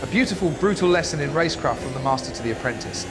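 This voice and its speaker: A man's voice: on the high side, animated, racing, over loud music. A man giving dramatic, theatrical motorsport commentary in a grandiose, hyperbolic manner. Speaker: race commentator.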